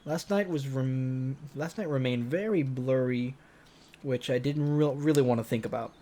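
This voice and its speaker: A man's voice: medium pitch, moderate pace, warm tone. A man reading a visual novel aloud as a streamer, switching between dramatic narration and casual, joking commentary. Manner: playful, joking asides.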